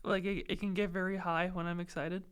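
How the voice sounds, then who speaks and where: A young man co-hosting a casual chat, easygoing and quick to agree. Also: high voice, little pitch movement, fairly bright tone, smooth. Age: young adult. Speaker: podcast co-host.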